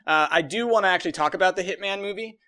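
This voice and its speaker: A man's voice: sits high, rapid-fire, bright, forceful tone. A man co-hosting a podcast, chatting casually and giving emphatic, rambling opinions peppered with casual swearing. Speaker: podcast host. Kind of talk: animated casual opinion chat.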